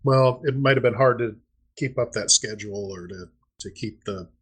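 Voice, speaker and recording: Husky man in his fifties. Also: medium pitch, normal speed. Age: 50s. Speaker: podcast co-host. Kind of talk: casual chat about old-time radio.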